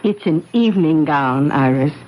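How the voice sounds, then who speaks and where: An actress playing a gentle, credulous, frail older aunt in a 1970s radio drama. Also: female voice, deep voice, animated, moderate pace, lo-fi sound. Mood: tender. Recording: slightly noisy vintage radio recording.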